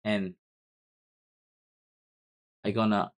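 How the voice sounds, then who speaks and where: Halting Vietnamese-accented man explaining code. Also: lowish voice, little pitch movement. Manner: halting, repeats words while typing key commands. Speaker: software tutorial host. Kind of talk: screen-recorded coding tutorial.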